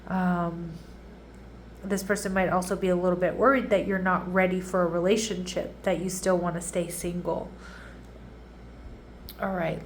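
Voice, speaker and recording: A woman's voice: mid-range voice, slight background noise. A woman giving a casual tarot reading who sounds congested and nasal, chatting and often checking in with her audience. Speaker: tarot reader.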